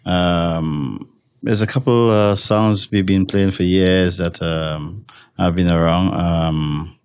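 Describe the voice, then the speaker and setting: A very deep-voiced Trinidadian man speaks in a hotel room. He answers questions in a loose, rambling way with lots of fillers. The recording is dry and slightly dull. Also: steady tone, slow pace, smooth, no room sound. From Trinidad and Tobago. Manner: rambling, many fillers and restarts. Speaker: steel drum musician, interview guest. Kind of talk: relaxed interview answers.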